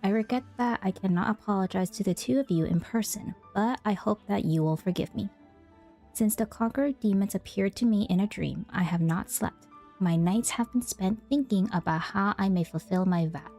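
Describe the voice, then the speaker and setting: Girl streamer playing and reading out loud, mellow mid voice, quiet game music in back. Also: medium pitch, normal speed. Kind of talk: casual playthrough commentary and reading aloud.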